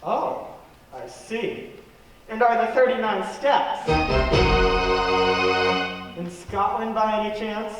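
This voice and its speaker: An actor playing a sceptical, easygoing young gentleman in a radio play. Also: sits high, takes it slowly, pauses often, over loud music. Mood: dry, sceptical. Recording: very echoey hall, distant mic.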